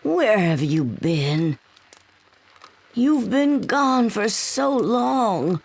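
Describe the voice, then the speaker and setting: A woman voicing a weary older woman who keeps a bar, cooing in a slow drawl. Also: lowish voice, voice pushed down, full character voice.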